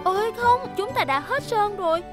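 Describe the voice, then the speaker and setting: Very high, bubbly little cartoon character. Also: female voice, normal speed. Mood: apologetic. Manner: bubbly, expressive, childlike. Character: cheerful, very high-voiced young cartoon vehicle character. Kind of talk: dubbed children's cartoon acting. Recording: music behind the voice.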